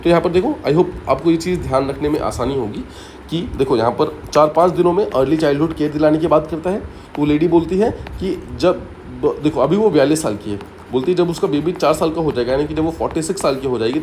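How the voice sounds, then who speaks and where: A man's voice: fairly high pitch, mellow, a little hiss or hum. A man teaching exam students by telling a story, explaining step by step and often repeating key points with short English asides. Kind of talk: story-based mnemonic lesson.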